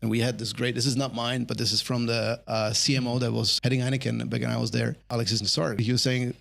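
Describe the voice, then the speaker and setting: A man's voice: medium pitch, level tone, fast, vocal fry, a bit bright. A middle-aged man with a Czech accent, a guest chatting on a podcast about his career, thoughtful and rambling with restarts. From Czech Republic. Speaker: guest, brand marketer. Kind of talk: casual podcast conversation.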